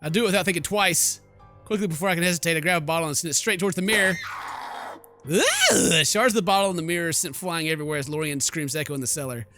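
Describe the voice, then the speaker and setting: Bright-voiced man reading a game aloud. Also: fairly high pitch, very fast. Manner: theatrical reading broken by slangy asides. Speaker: let's play host. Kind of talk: reading game narration aloud with joking commentary. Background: game music.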